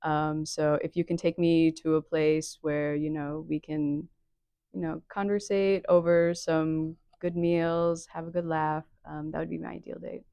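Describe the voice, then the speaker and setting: A woman's voice: low-pitched, little pitch movement, measured pace, warm tone, smooth, dry recording. A twenty-year-old woman answering interview questions casually, starting with many hesitant fillers. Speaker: contestant.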